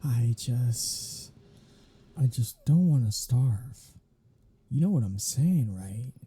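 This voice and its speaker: A performer voicing a starving captive vampire in an intimate ASMR roleplay, with a breathy, husky voice and a wry, halting delivery. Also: medium pitch, lively intonation, warm tone, full character voice. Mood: desperate pleading. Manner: wry teasing, halting, slipping into pleading. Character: captive starving vampire, sardonic, flirtatious, desperate.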